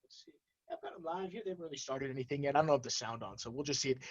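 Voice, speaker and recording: A man's voice: mid-range voice, expressive, racing, dull audio. A man co-hosting an energy industry talk show in casual banter, rambling and often restarting his sentences. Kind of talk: casual unscripted banter and commentary.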